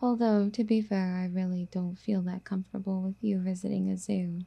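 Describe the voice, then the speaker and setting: A woman playing a possessive, doting yandere girlfriend in a soft, intimate ASMR roleplay, speaking in a coaxing, whispery, sweetly affectionate way. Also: medium pitch, level tone, normal speed, gravelly, dull audio.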